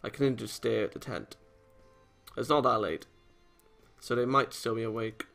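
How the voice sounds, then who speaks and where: Gravelly, bright-voiced man reading aloud. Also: mid-range voice, moderate pace. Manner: stumbles over and repeats read lines. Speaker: let's play host. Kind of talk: reading a visual novel aloud with casual commentary. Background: game music.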